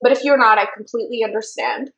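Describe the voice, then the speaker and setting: Here is a chatty woman hosting a live web talk show. Her voice sits a little high, she giggles easily and wanders between thoughts, and the room around her is echoey. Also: steady tone, fast talker, gravelly, fairly bright tone. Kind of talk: casual live-stream chat.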